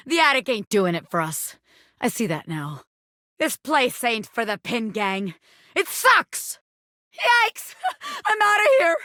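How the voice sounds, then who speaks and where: A woman voicing a cocky, bossy small-time gangster boss, a living pin in a video game, who talks tough but has a soft spot. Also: extremely high pitch, no echo, clean studio sound, exaggerated voice. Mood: scheming, cocky, then exclaiming, flamboyant. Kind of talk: video game character voice acting.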